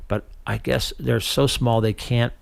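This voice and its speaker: A man's voice: mid-range voice, level tone, a little slow, vocal fry. A middle-aged man hosting a community radio talk show, chatting casually and joking around. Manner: jokey, breaks into loud silly voices.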